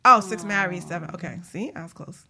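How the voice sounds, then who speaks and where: A woman's voice: lowish voice, animated, fairly quick, gravelly, a crowd in the background. A woman on a talk show panel, chatting casually in a teasing, playful way. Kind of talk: casual banter.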